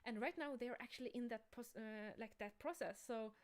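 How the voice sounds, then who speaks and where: A woman co-hosting a livestream, explaining a project informally and haltingly, often correcting herself. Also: on the high side. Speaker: livestream co-host and game creator. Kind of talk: casual livestream talk about a project.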